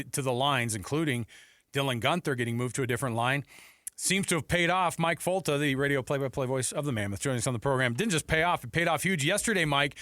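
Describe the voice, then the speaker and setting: A man hosting a sports radio talk show in an informal, slangy, animated way. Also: mid-range voice, dry recording, clean studio sound.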